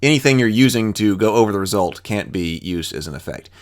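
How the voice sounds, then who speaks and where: A man's voice: fairly low pitch, expressive, a little fast. A man hosting a tabletop roleplaying lesson, reading rules aloud step by step with informal thinking-aloud asides.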